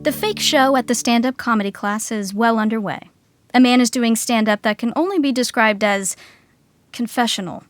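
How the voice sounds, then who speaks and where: A woman's voice: on the high side, moderate pace, faint music behind, natural voice. A woman playing the narrator of a sitcom podcast, wry and conversational, full of asides. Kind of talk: scripted comedic narration.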